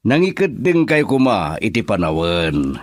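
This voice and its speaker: Isang lalaking gumaganap bilang nag-aalalang ama, na may punto ng Ilocano, sa isang radio drama. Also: male voice, fairly low pitch, very animated, measured pace, a little hiss or hum. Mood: worried. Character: concerned father.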